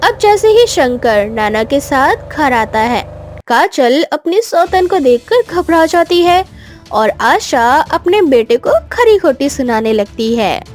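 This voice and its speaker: A woman's voice: high-pitched, big pitch swings, slow pace. A woman narrating a scripted moral story as the storyteller. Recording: faint music behind the voice.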